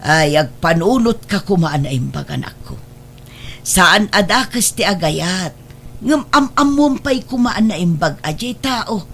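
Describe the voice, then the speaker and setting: A woman's voice: lowish voice, animated, a little slow. A woman acts as an older mother in a radio drama, protective, suspicious and full of worry. She admonishes in a motherly way. There is a faint hum behind the voice. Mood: admonishing.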